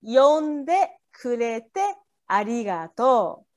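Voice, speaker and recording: A woman's voice: fairly high pitch, animated, a little slow. A native Japanese woman with a Japanese accent, tutoring casually phrase by phrase in brief turns with small acknowledgements. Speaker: language tutor.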